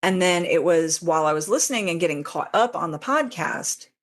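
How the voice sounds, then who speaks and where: A woman who writes fiction, chatting casually as a guest and telling stories, animated when quoting her own reactions. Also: on the low side, a little fast.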